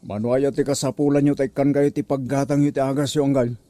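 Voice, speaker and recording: Mellow Ilocano nephew, acted by a man. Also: mid-range voice, flat delivery, quick pace, dry recording, lightly acted. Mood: sympathetic. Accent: Ilocano, northern Philippines. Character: concerned, gullible, kind-hearted younger nephew. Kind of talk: Ilocano comedy radio drama acting.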